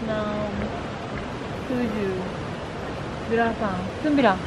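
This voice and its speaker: A woman's voice: on the high side, slow, noisy background. A young woman chatting casually in short replies outdoors, picked up by a handheld camera. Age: young adult. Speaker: vlog companion. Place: outdoors at night in a hot spring town. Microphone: handheld camera nearby.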